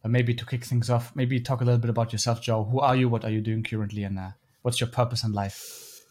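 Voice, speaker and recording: A man hosting a podcast, asking his guest questions in a brisk, friendly way. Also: medium pitch, flat delivery, darkish tone. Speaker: podcast host. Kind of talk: interview questions.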